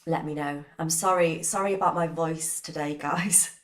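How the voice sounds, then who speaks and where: A woman teaching a biology revision lesson over a live stream. Her lowish voice is hoarse from a cold. She keeps a chatty tone and greets her viewers by name. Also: steady tone, moderate pace.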